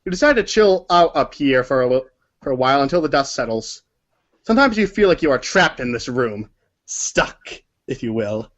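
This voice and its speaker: A man's voice: mid-range voice, big pitch swings, moderate pace, a bit bright, dull audio. A man narrating a story aloud as if from a storybook, sometimes stumbling over words and correcting himself. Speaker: narrator of a group readers' theater.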